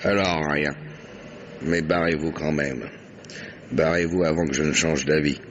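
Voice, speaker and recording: Gruff cop, male, radio noir: very low voice, menacing and curt, lo-fi old broadcast sound. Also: steady tone, a little slow, a little hiss or hum. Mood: threatening. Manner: curt, bullying. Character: gruff, threatening male police lieutenant. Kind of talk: French radio crime drama acting. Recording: dull, lo-fi old radio recording.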